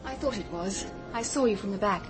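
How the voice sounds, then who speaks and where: An actress playing a young English private detective in a radio drama, speaking politely and earnestly. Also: female voice, mid-range voice, a little fast, over music, lightly acted. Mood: quiet, reflective. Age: young adult. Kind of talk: British radio drama acting.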